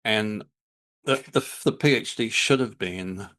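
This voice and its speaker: A man's voice: lowish voice, a little slow, fairly bright tone. A man hosting a podcast, with a mix of North American and English accent, talking casually and repeating words as he searches for them. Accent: mixed North American and English. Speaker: podcast host. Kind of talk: casual conversation.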